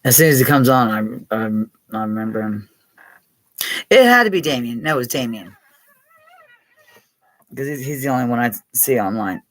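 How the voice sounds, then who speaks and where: A woman's voice: rumbling low, lively intonation, normal speed, pauses often. A middle-aged American woman hosting a casual live chat, rambling, laughing often and calling out to her viewers by name. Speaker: livestream host.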